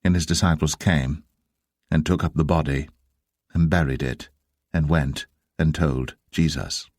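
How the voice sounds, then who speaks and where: A man narrating dramatised scripture in a solemn, measured manner. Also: a bass voice, steady tone, a little mellow, dry recording, clean studio sound.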